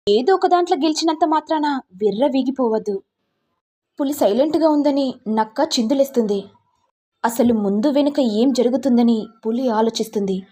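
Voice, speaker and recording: A woman's voice: a little high, darkish tone, husky. A woman voicing a proud, stern matriarch in a family story, scolding and warning.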